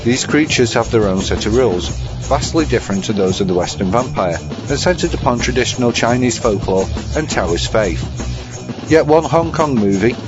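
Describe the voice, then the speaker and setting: A man presenting a scripted essay segment in a measured, formal, bookish way. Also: mid-range voice, lively intonation. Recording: muffled audio with music behind.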